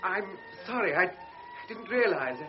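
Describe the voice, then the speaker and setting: Young cavalry officer, stammering and apologetic, lo-fi radio drama. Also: male voice, sits high, sing-song, normal speed, music in the background. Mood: distressed. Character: flustered young cavalry lieutenant, awkward and apologetic. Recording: dull old radio broadcast.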